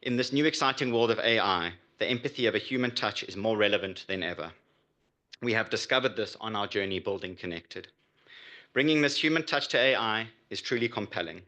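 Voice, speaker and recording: A man delivering a prepared pitch as a startup founder. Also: brisk, sharp tone. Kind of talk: prepared business pitch presentation.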